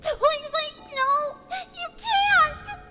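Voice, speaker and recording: A woman's voice: extremely high pitch, drawn-out words, loud music behind the voice, voice pushed up, exaggerated voice. A woman voicing a frantic, desperate young female cartoon pony who wails and begs. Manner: desperate, wailing. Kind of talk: scripted fan fiction character reading.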